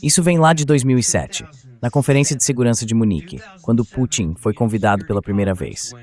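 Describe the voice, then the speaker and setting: Male AI voice, medium pitch, translated voice-over, explanatory storytelling, political analyst style. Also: animated, brisk, darkish tone. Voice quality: synthetic AI voice.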